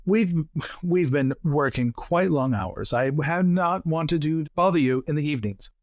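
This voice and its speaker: A man playing a deferential young gentleman who speaks politely and apologetically. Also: on the high side, lively intonation, a little slow, no room sound. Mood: courteous, apologetic.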